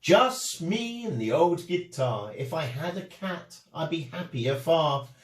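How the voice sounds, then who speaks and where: A man's voice: fairly high pitch, animated, slow pace, far from the mic. A man voicing an affectionate old street busker in a storybook, heartfelt and singsong. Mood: longing song. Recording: echoey room.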